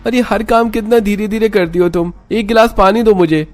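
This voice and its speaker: A man's voice: high-pitched, fairly quick, faint music behind, close to an everyday voice. A man voicing an irritable young husband in a cartoon story, scolding and impatient. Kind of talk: Hindi cartoon story dialogue.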